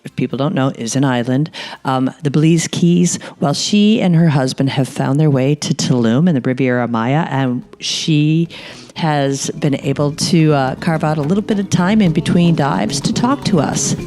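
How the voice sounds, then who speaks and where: A woman hosts a casual podcast, sounding slightly hoarse from a lingering cold. She talks in a bubbly, rambling way, restarting her questions as she goes. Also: low-pitched, moderate pace, mellow. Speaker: podcast host. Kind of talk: casual interview chat.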